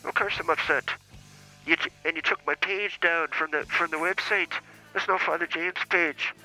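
A man's voice: a little high, fairly even intonation, normal speed, pushed and bright, soft background music, low-quality recording. A man voicing a cranky, foul-mouthed older male priest who calls in, blustering and stammering as he accuses the hosts. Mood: indignant. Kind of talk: comedy character call-in.

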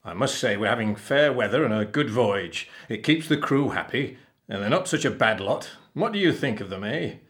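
A man's voice: medium pitch, animated, normal speed, a bit bright, close to an everyday voice. Man playing a blustering, bullying pirate captain. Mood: chatty. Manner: growling, barking, spluttering.

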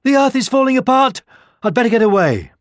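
A man's voice: extremely high pitch, very animated, fairly quick, no room sound, studio quality, pitched above the performer's own voice. A man voicing a timid, worried little hare in a children's storybook, with a small voice and frantic, alarmed delivery. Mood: panicked. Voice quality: small, thin voice. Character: timid, panicky little hare who worries too much.

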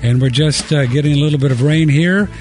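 A man's voice: fairly high pitch, fast, a little mellow, faint music behind. Chatty male radio show host. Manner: chatty and joking, reads out phone numbers. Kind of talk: casual radio chat. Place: radio studio.

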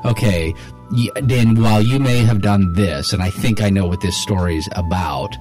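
A man's voice: lowish voice, moderate pace, darkish tone. A middle-aged man co-hosting a podcast in casual chat, rambling and repeating words as he works through a thought. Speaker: podcast host and writer. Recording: music playing behind the voice. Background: music.

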